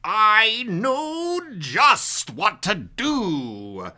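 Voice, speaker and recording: A man voicing a sour, scheming old storybook creature, snarling and hissing in a drawn-out, menacing way. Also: sits high, wildly swooping pitch, bright, forceful tone, voice pushed up, heavily acted. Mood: gleeful scheming. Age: fifties. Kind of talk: storybook character voice.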